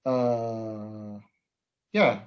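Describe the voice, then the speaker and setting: A man's voice: on the low side, fairly even intonation, muffled recording. Man hosting a chat. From Nigeria. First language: Yoruba. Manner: halting, many fillers and restarts. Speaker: podcast host. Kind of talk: casual interview chat.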